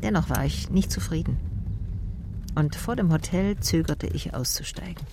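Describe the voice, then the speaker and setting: A woman's voice: sits low, animated, moderate pace, noisy background. An actress playing a female private detective in a radio drama, sceptical and probing, with an understated, wry delivery. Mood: wry, reflective. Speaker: lead character and first-person narrator. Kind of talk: German radio drama dialogue and narration.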